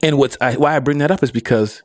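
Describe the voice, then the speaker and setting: A man's voice: medium pitch, fast, darkish tone, no echo, clean studio sound. A man co-hosting a podcast in casual chat, asking question after question and laughing often. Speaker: podcast co-host. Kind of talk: casual pop culture chat.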